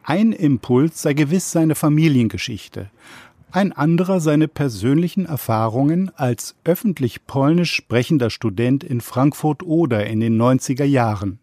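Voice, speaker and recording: A man's voice: mid-range voice, animated, normal speed, warm tone, no echo. Dry studio male news narrator. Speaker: radio reporter.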